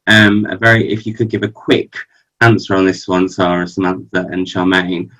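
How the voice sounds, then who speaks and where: A man moderating a webinar panel, polite and brisk, with the odd filler "um". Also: low voice, monotone, fairly bright tone, poor audio.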